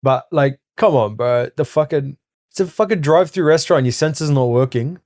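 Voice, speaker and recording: A man's voice: on the high side, a little fast, darkish tone, no echo, clean studio sound. Sweary, slangy young man. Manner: blunt, sweary, slangy storyteller. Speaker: podcast co-host. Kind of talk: casual chat.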